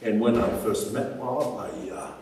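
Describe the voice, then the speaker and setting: A man's voice: on the low side, normal speed, darkish tone, recorded from a distance, slight background noise. This is an older Tonga-born man with a New Zealand accent, sharing his story with a church gathering. He rambles, hesitates and chuckles between thoughts. He is picked up from well away from the mic, in a hall with long reverb. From born in Tonga, raised in New Zealand. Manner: rambling, hesitant, many fillers, chuckles often. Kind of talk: informal testimony to a group.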